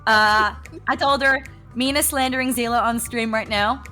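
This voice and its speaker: A woman's voice: a little high, steady tone, moderate pace, bright, forceful tone, soft background music. A woman chatting casually about games as a guest on a stream. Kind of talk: casual gaming chat.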